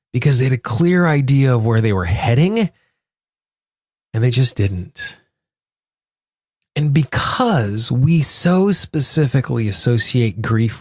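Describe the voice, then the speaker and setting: A man's voice: mid-range voice, no echo, clean studio sound. A man speaking as a podcast host and coach, explaining and instructing the listener directly in a conversational self-help style. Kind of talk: conversational self-help talk and guided tapping exercise.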